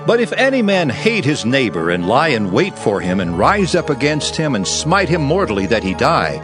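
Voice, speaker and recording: A man narrating dramatized scripture in a solemn, declamatory manner. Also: mid-range voice.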